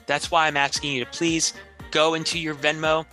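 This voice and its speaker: An earnest, washed-up small-town guy played by a man makes a rambling mock-commercial plea for money. His voice is very bright and on the high side, with faint music behind it. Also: level tone, normal speed. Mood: earnest pleading.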